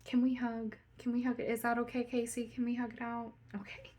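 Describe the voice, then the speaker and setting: A woman's voice: a little high, monotone, darkish tone, close to an everyday voice. A woman playing an emotional assistant bank manager who is holding back tears. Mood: tearful. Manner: tearful, breathy, halting.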